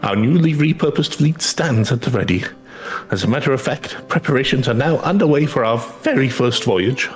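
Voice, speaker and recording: A man's voice: medium pitch, expressive, normal speed, close to an everyday voice. A man playing a dignified older male businessman in a video game, speaking formally and eloquently. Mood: formal, pleased.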